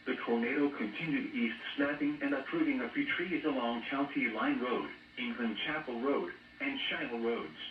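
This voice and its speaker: A man's voice: medium pitch, normal speed, few pauses, reverberant, distant mic. Muffled, noisy American male weather radio announcer. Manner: flat, mechanical synthetic delivery, reading out codes and figures. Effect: weather radio broadcast. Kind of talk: scripted weather bulletin reading.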